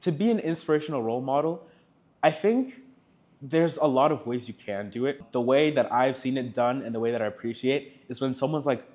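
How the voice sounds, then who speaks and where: This is a teenage boy with an American accent, originally from South Africa. He answers an interviewer's questions in a relaxed, chatty way, stringing thoughts together with plenty of "like". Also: mid-range voice, brisk. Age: teens. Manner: chatty, laughs between thoughts, lots of "like". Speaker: student guest.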